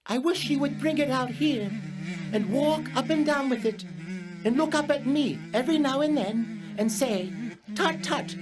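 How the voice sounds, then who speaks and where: A woman playing a gentle, naive, earnest storybook bear in a children's audio drama, musing in a slow-witted way. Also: high-pitched, expressive, music in the background. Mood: earnest, suspicious.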